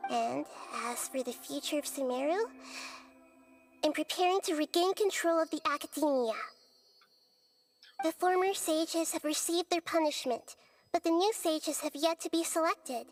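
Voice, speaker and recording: Gentle little girl goddess for a game, high soft voice, wise and calm, careful diction. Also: faint music behind. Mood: calm, resolute. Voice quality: soft, youthful. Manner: measured, articulate, earnest. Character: gentle, wise, childlike goddess of wisdom, female. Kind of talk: video game voice acting.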